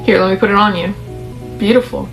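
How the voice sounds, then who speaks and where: Hoarse woman doing a calm wealthy young man, gently coaxing, soft music under. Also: mid-range voice, quick pace, lightly acted, rough recording. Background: background music.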